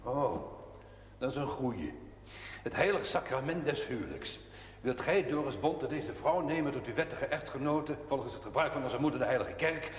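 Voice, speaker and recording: A man's voice: mid-range voice, lively intonation, moderate pace, close to an everyday voice. A man acting in a television drama as a blunt, sardonic, outspoken village doctor. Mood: bitter mockery. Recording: echoey hall, noisy old TV soundtrack.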